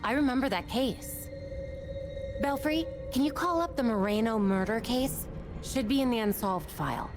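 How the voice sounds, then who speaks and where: An actress voicing a determined, guarded young vigilante detective in a restrained, businesslike, naturalistic video game style. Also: female voice, a little high, animated, moderate pace, music in the background.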